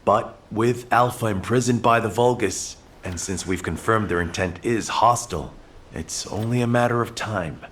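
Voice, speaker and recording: Game cutscene lead: remorseful, resolute male commander played by an actor, lowish voice, grave and deliberate. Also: fairly bright tone, a little hiss or hum, close to an everyday voice. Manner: solemn, measured, resolute. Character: earnest, remorseful male commander in a sci-fi war. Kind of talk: video game cutscene acting.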